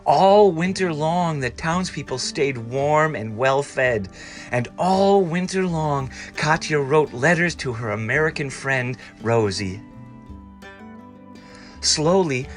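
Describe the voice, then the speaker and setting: A man reading a storybook aloud with dramatic, expressive delivery. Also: mid-range voice. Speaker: storybook reader.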